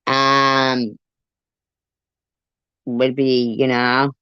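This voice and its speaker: A woman's voice: rumbling low, level tone, drawn-out words, bright, forceful tone, smooth, no room sound, highs cut off. A woman giving blunt, repetitive, sweary off-the-cuff commentary on a live stream. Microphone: phone on a live stream.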